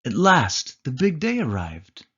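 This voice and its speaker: A man reading a children's story aloud as storyteller, with expressive narration. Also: medium pitch, unhurried, slightly rough, highs cut off.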